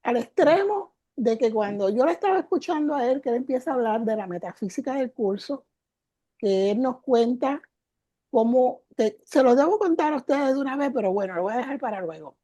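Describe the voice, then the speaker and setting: A woman's voice: a little high, animated, fairly quick, dark tone, no echo. An older woman, a guest teacher, telling her story in an interview with emotion, repeating words for emphasis. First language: Spanish.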